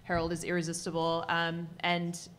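A woman editor on a panel talks fast and enthusiastically, her voice sitting a little low. Faint hall noise runs behind her. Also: completely flat delivery, fairly bright tone. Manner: rapid, enthusiastic.

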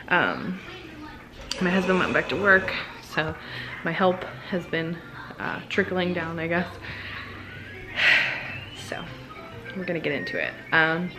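A woman's voice: fairly low pitch, rough recording. A young woman vlogging casually at home, chatty and self-deprecating with sighs. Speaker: vlogger, stay-at-home mom. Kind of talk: casual vlog chat. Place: home kitchen and living area, indoors. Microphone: handheld camera, nearby.

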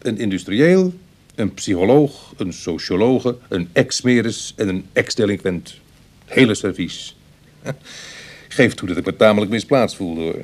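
Wry ex-burglar, low voice. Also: male voice, expressive, unhurried. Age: 30s. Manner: dry, colloquial, self-deprecating. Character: wry, self-conscious ex-burglar in his thirties. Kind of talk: Dutch radio play acting.

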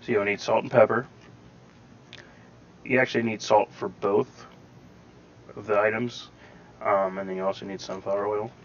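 Low, creaky-voiced man giving a game tutorial. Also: level tone, moderate pace, long pauses, dull audio. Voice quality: slightly robotic-sounding. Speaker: gaming tutorial host. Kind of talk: casual gameplay tutorial commentary. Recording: slightly robotic processing, faint background noise.

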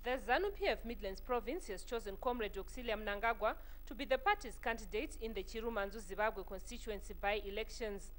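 A woman's voice: fairly high pitch, brisk, hardly any pauses, fairly bright tone, slight background noise. A woman reporter with a Zimbabwean accent narrating a scripted television news report.

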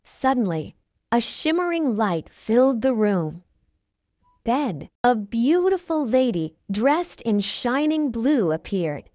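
A woman reads a children's storybook aloud in a slow, unhurried way, her pitch rising and falling with feeling. Her voice is fairly high and the recording is dry and close. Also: no echo. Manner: slow clear expressive reading. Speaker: children's book reader. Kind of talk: storybook read-aloud narration.

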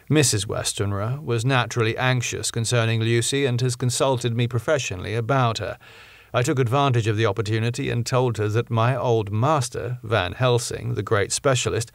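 A man's voice: mid-range voice, vocal fry, dry recording. Man narrating, close and dry. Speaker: audiobook narrator. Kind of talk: scripted narration of a classic novel.

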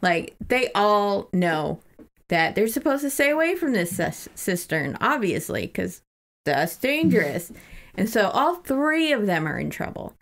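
A woman's voice: medium pitch, big pitch swings, measured pace, creaky, fairly bright tone. Casting: female podcast co-host, mid-range voice, casual book chat, irreverent and loose with swearing.

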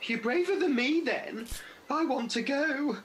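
A woman's voice: high-pitched, lively intonation, measured pace, slight background noise, low-quality recording. A woman playing a nervous, grumbling older pantomime dame in a radio play, exclaiming melodramatically. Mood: frightened.

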